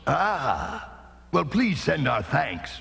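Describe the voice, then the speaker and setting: A man's voice: on the high side, big pitch swings, very slow, gravelly, exaggerated voice. An actor playing a jovial, easily impressed old king in a cartoon fairy tale, with a stately, effusive manner. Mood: gracious, pleased.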